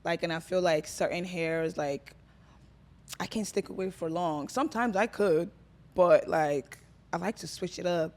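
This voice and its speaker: A woman's voice: on the low side, unhurried, a little mellow. A woman from Nigeria, a guest in a casual podcast interview, talking in a rambling, chatty way full of fillers. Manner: chatty, rambling, filler-heavy, laughs often.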